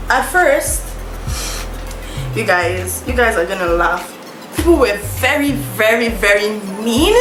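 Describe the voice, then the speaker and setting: Chatty young Nigerian woman vlogging. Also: a little high. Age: young adult. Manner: chatty, full of fillers and self-corrections. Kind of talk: casual storytelling to camera. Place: small indoor room, seated before a plank backdrop. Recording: echoey room with music behind.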